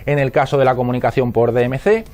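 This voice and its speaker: A man's voice: medium pitch, fairly even intonation, quick pace, darkish tone, faint music behind. A man with an accent from Spain reading scripted instructional narration in a methodical, didactic manner. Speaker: narrator of a product explainer.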